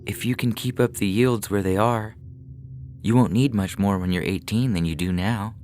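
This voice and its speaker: A man plays a devoted spaceship mother in an audio story. She is tender yet firm and keeps her dread hidden, pitched above the reader's deep narration, over faint music. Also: moderate pace, a little mellow, natural voice. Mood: strained. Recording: music behind the voice.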